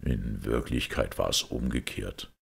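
A man voicing a grave, thoughtful priest detective who explains things in a measured, sombre way in an audiobook. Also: extremely low pitch, vocal fry, slightly rough, heavily acted. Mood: explaining. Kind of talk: audiobook character acting.

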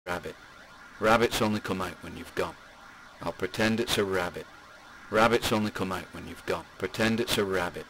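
An eerie male voice, acted by a man on a looped tape in a horror audio drama. It is low-pitched and repetitive, under audible noise. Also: moderate pace, long pauses, pushed and bright. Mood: eerie, flat. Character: eerie looping male voice on a supernatural recording. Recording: noisy reversed-tape loop, radio-like ambience.

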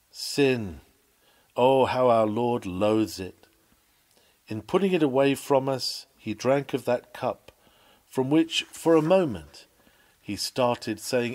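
A man reading a written sermon aloud with earnest, emphatic, measured oratory. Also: medium pitch, a little mellow. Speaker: sermon reader.

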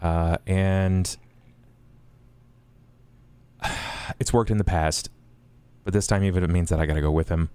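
A man with a low voice takes part in a tabletop game. He talks casually, thinking aloud with some hesitation as he decides what to do. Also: steady tone, unhurried, long pauses, warm tone, smooth.

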